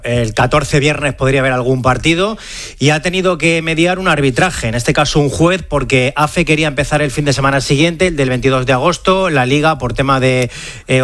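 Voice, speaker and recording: A man's voice: medium pitch, a bit bright. A man with a Spain Spanish accent explaining sports news in a live radio report.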